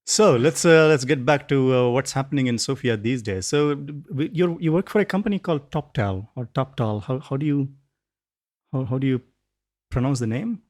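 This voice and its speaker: A man hosting a podcast, loose and joking, with halting restarts. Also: medium pitch, a little mellow. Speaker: podcast host. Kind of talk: casual podcast chat.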